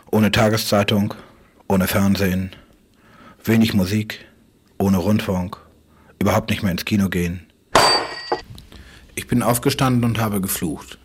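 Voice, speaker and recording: A man with a husky, breathy voice delivers a literary radio monologue in stark, clipped phrases, often repeating himself. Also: lowish voice, a little slow, long pauses, background noise. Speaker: writer.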